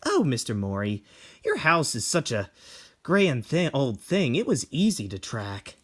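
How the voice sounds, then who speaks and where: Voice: medium pitch, huge pitch swings, a little slow. A performer voicing a snobby, beautiful young woman in a horror story, haughty and theatrical, drawing out words. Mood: smug, coy.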